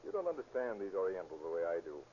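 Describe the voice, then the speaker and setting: An actor playing a patient, self-assured narcotics detective in a 1930s radio drama, frank and matter-of-fact. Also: male voice, low voice, very animated, fast, mellow. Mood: frankly insistent. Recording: muffled, hissy 1930s radio recording.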